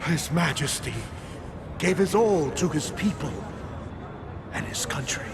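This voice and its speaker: A grandiose villainous sorcerer regent for a fantasy game. His male voice is fairly high yet creaky, and he speaks very slowly, with theatrical, manipulative authority. Music plays underneath. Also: lively intonation, noisy background. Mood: mock grief, pleading. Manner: theatrical, deliberate, commanding oratory. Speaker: voice actor in a game cutscene. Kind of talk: theatrical scripted game drama.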